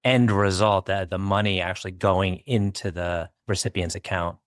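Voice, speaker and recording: A man hosting a business podcast, asking relaxed conversational questions and nudging the guest along. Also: low voice, moderate pace, no room sound, studio quality. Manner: relaxed, prompting with frequent backchannels. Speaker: podcast host, interviewer.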